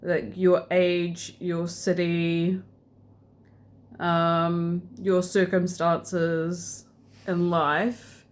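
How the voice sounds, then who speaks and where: A woman's voice: lowish voice, level tone, very slow. A woman with a New Zealand accent, rambling casually to camera in a vlog, with many ums and restarts. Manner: hesitant, many fillers and restarts. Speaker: vlogger.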